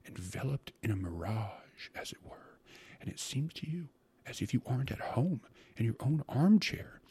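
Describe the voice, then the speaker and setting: A man voicing a fussy, gluttonous court secretary in a storybook reading, coaxing and savoring each word with a breathy, stammering delivery. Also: mid-range voice, animated, normal speed, mellow, voice pushed up, close to an everyday voice. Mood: dreamy, hushed. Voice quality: breathy, often dropping to a whisper. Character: fussy, gluttonous male court secretary, rapturous about food.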